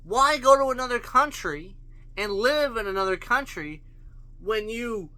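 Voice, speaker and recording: A man's voice: extremely high pitch, lively intonation, unhurried, bright, forceful tone, no room sound. A man ranting bluntly to his viewers, profane and full of rhetorical questions. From Canada. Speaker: vlogger. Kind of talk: opinion rant to camera.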